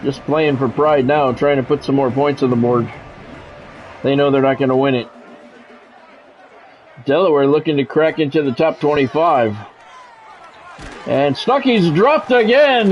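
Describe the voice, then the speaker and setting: A man with a slightly high voice commentates a football video game like a play-by-play announcer. He speaks in clipped phrases and drops in dry, blunt asides. The recording is a bit dull, with faint noise behind him. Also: a little slow, long pauses.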